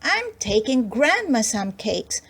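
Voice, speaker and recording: A woman voicing an eager, easily distracted little girl in a storybook reading, speaking high, sweet and slow. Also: husky, heavily acted. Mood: childlike. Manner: sweet, excitable.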